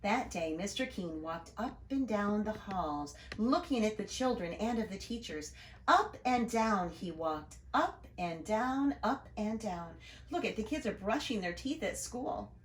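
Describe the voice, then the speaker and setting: Lady teacher reading a kids book out loud, takes her time, expressive, echoey room. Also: mid-range voice. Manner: expressive, deliberate emphasis. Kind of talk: children's storybook read-aloud with asides.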